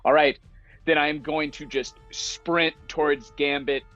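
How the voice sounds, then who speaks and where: A man's voice: a little high, fairly even intonation, unhurried, fairly bright tone. Dull-sounding recording of a playful male gamer. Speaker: player in a tabletop roleplaying game. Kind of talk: casual, playful game chat. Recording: slightly dull lo-fi mic, music behind.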